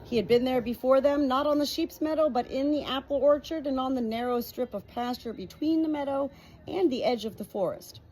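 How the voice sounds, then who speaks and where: A woman with a slightly husky voice narrating a storybook from a script. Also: high voice, fairly quick, darkish tone, poor audio. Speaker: audiobook reader.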